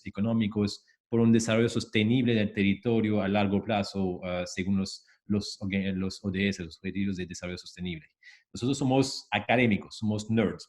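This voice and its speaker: A man, a native French speaker with a strong French accent, presenting informally as an academic, repeating words as he goes. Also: lowish voice. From French-speaking country. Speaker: panel speaker, academic and consultant.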